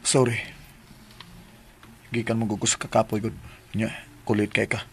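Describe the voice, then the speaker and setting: Husky Cebuano Filipino man, exasperated sweetheart. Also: fairly low pitch, flat delivery, measured pace. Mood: apologetic. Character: exasperated but apologetic man, teasing and firm sweetheart. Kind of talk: Filipino radio drama acting.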